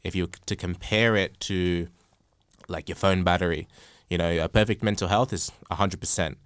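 A young man hosts a podcast panel with a very low voice. He talks in a measured way, leaning on analogies and scattering "uh" fillers as he goes. The sound is dry and close. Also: fairly even intonation, clean tone, no echo. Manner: frequent "uh" fillers. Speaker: podcast host. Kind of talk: casual panel discussion.

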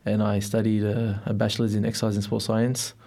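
A man's voice: lowish voice, completely flat delivery, fairly quick, gravelly, mellow. A young man from Melbourne, answering interview questions in a reflective, hesitant way in a studio. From Melbourne, Australia. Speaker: interview guest.

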